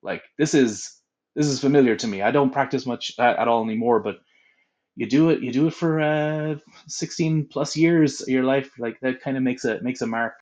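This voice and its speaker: A man's voice: mid-range voice, normal speed, a little mellow. Young guy voice actor rambling on, lots of likes and ums. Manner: rambling, many filler "like"s. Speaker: audiobook voice actor. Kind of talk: casual interview chat.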